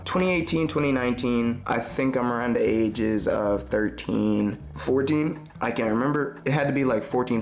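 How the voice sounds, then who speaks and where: A young man from Tennessee telling his life story in a casual, slangy, rambling way, often correcting himself. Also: mid-range voice. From Tennessee, USA. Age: young adult. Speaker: vlogger. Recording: music playing under the voice.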